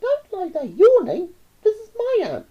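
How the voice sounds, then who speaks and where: A reader voicing a bossy, excitable young boy who yells orders in a shrill, high voice. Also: a little slow, lo-fi sound, full character voice. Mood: shouting, bossy. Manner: yelling orders.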